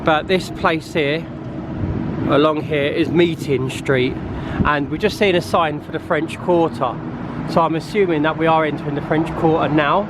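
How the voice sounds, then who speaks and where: Man, chatty travel vlogger, slightly high voice, rambling, outdoor street recording, traffic noise. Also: steady tone, moderate pace. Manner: chatty, rambling, repeats himself. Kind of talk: casual vlog narration. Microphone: compact camera mic.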